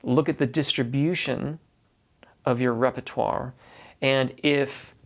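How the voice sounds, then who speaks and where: A man with a gravelly, mid-pitched voice talks through singing advice for a viewer. He speaks loosely and practically, breaking off and restarting sentences as he thinks. His pitch sits in the middle of the male range. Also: fairly even intonation, slow pace. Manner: reads a viewer's letter aloud, then gives practical advice with many restarts. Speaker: voice teacher answering a viewer question. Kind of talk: instructional talk to camera.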